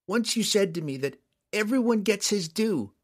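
A man voicing a young dwarf girl, earnest and defiant, speaking in a high, pleading voice. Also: animated, moderate pace. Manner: high-pitched, pleading and indignant.

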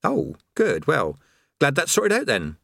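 A man's voice: on the high side, wildly swooping pitch, measured pace, gravelly, sharp tone, dry recording, clean studio sound, pitched above the performer's own voice, full character voice. A man voicing the cheerful, absent-minded creator of the universe, who rambles like a chatty tradesman. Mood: breezy. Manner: chatty, rambling, casual workman's talk.

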